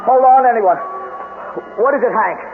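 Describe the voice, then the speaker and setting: A man's voice: extremely high pitch, moderate pace, music in the background. An actor playing a brave, take-charge young man in a vintage radio drama, firmly instructing the others. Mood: urgent, stern. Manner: firm, instructing, commanding. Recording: tinny, noisy old radio broadcast.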